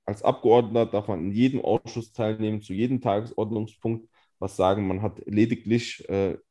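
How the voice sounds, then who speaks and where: A man's voice: lowish voice, fairly even intonation, moderate pace, mellow. A man, a state parliament member and committee chair, earnestly explaining his work step by step in a casual podcast, recorded remotely. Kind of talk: casual political talk.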